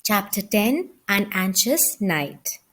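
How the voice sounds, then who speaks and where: A woman reading a children's story aloud as an expressive narrator. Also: medium pitch, slow, slightly rough.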